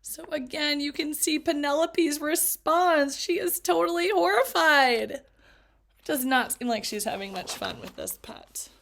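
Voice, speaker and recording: A woman, a teacher, reading a picture book aloud to children, expressive and chatty, with asides to her young listeners. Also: sits high, unhurried, creaky.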